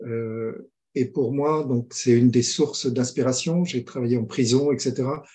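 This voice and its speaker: A mediator speaks in a relaxed, mellow voice, circling back and restarting his sentences as he explains. He tells stories at a conversational pace, with frequent pauses to find his words. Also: medium pitch. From France. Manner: hesitant, many restarts and fillers, storytelling. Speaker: mediator guest. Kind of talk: conversational interview.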